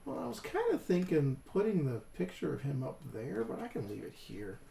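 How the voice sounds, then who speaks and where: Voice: medium pitch, huge pitch swings, gravelly, warm tone, a little hiss or hum. An American hobby host chatting casually and rambling, often talking to themselves while working at a table. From Western New York, United States. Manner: unhurried, rambling, talks to self while working. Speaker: co-host of a tabletop miniature painting channel. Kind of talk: casual rambling chat while painting miniatures. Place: indoors at a painting table. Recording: echoey room.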